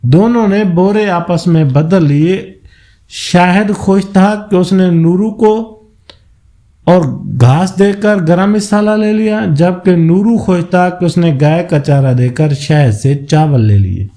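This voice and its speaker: Unhurried, mellow-voiced male storyteller. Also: high-pitched. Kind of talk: scripted moral folk tale narration.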